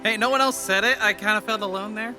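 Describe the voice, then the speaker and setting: A voice actor playing a reckless, excitable boy leader in a cartoon parody, brash and theatrical. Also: mid-range voice, quick pace, faint music behind. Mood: brash, excited. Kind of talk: fan-dub parody cartoon acting.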